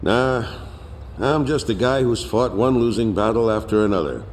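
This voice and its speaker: An actor playing a weary, humble veteran naval captain of an aircraft carrier in a game cutscene. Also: male voice, fairly low pitch, expressive, normal speed, darkish tone, slight background noise. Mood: weary, somber. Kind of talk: dubbed game cutscene drama.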